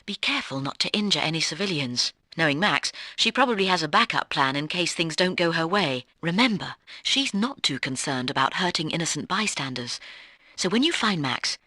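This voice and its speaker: An actress playing a brisk, cautioning spy teammate who gives emphatic instructions in a video game. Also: female voice, deep voice, expressive, sharp tone, dry recording, clean studio sound, exaggerated voice. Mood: urgent, cautioning.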